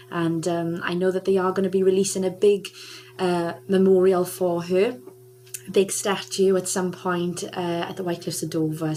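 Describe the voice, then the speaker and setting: A woman's voice: fairly low pitch, a little hiss or hum, low-quality recording. A young adult woman guest on a radio interview, chatting with lots of laughter and filler "um"s. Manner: chatty, warm-hearted, laughs often, many "um"s. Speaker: guest singer.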